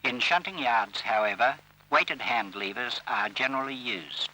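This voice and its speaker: A man narrates an old instructional film in a very bright, crisp-edged and forceful voice. His delivery is formal and measured, setting out each instruction as scripted. It has the sound of a vintage training film soundtrack. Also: medium pitch, low-quality recording. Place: voice-over recorded for film.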